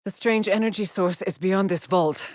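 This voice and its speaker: An actress voicing a weary, driven middle-aged woman exploring space in a video game, with a husky, clipped and solemn delivery. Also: mid-range voice, moderate pace, no echo, natural voice. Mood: focused, measured. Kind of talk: dramatic game voice lines, terse log entries.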